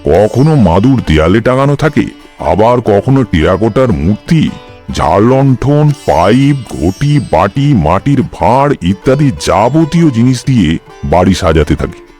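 A man's voice: lowish voice, very animated, unhurried, a little mellow, soft background music. A man voicing a put-upon husband, a neighbourhood promoter, in a cartoon comedy, with exaggerated delivery. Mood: exasperated, explaining. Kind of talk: animated cartoon comedy dialogue.

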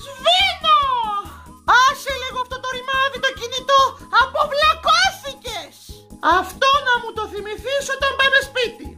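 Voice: very high voice, pushed and bright, faint music behind, full character voice. A performer voicing a nagging, melodramatic Greek mother in a comic recital, exasperated and full of theatrical guilt-tripping. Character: Voulitsa, shrill melodramatic scolding Greek mother character. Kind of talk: comic recital of stock parental catchphrases.

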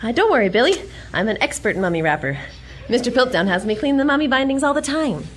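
A woman's voice: on the high side, sing-song, fast. A woman playing a plucky, defiant young American heroine in a comic radio drama. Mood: earnest.

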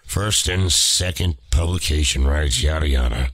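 A man voicing a gruff, bossy middle-aged newspaper boss in a motion comic, blustering and barking with a gravelly voice. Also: a bass voice, measured pace, fairly bright tone, no room sound, full character voice. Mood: gruff, dismissive.

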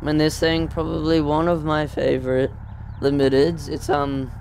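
A man's voice: a little high, monotone, moderate pace, vocal fry, a little mellow, slight background noise. A man giving casual, off-the-cuff commentary as a gaming video host, in a hesitant, rambling way. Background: car engine sounds from a driving game.

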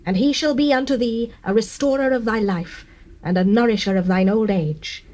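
A woman's voice: on the high side, normal speed, darkish tone, close to an everyday voice. An actress playing a joyful, devout village woman in a dramatized Bible, reverent and declamatory. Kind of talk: dramatized King James Bible acting.

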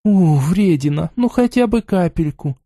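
A man plays a playful young woman in a fantasy audiobook. The voice is pitched high and drawls in a clingy, wheedling way, savouring every pleasure. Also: expressive, warm tone, dry recording, clean studio sound, exaggerated voice.

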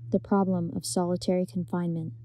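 A woman reading neutral, scripted audio description in an even tone. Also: lowish voice, fast talker, faint music behind, low-quality recording. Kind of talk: scripted narration.

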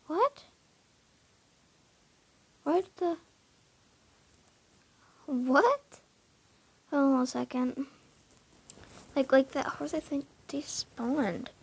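A woman's voice: high-pitched, very slow, pauses often. A young woman casually talking through her horse barn in a game, hesitant, full of fillers and often dropping into a whisper.

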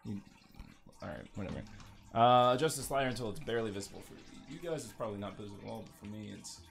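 A man with a fairly low-pitched voice commentates as he plays a video game. His delivery is casual and joking, wandering off into sarcastic asides like a gamer hosting his own let's-play. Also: lively intonation, fast talker, gravelly. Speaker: gaming video host.

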